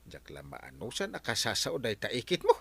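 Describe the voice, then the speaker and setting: A man's voice: lowish voice, wildly swooping pitch, fairly quick, gravelly, bright, forceful tone, husky, no echo, full character voice. Man playing a blustering, wildly swooping Ilocano husband. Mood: exasperated. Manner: blustering, stammering exclamations. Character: grumbling, cheeky husband who shirks chores. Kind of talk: comic regional audio drama acting.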